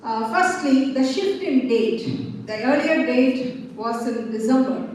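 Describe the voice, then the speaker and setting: Woman with a high voice, academic presenter, picked up from afar in a very echoey hall. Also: expressive, darkish tone. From Tamil Nadu, India. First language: Tamil. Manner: formal, measured lecturing with pauses. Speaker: conference speaker. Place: large seminar hall. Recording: echoey hall heard from far off.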